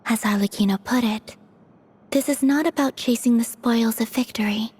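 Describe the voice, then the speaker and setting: A woman voicing a gentle, frail moon maiden in a video game, honest and quietly resolute, speaking in a soft, breathy, measured way. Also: on the high side. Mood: earnest, gentle. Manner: measured, earnest delivery.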